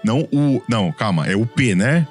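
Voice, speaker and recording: A male podcast host with a São Paulo accent talks in a friendly, chatty way. His voice sits at an ordinary middle pitch, and his sentences often restart. Soft music plays faintly behind him. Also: expressive, normal speed, husky. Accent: São Paulo Portuguese. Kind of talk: casual podcast chat.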